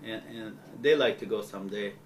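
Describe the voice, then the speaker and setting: A man from Afghanistan with an Afghan accent, talking slowly and thoughtfully in an interview. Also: lowish voice, slight background noise. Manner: slow, thoughtful, hesitant. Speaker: interviewee, father.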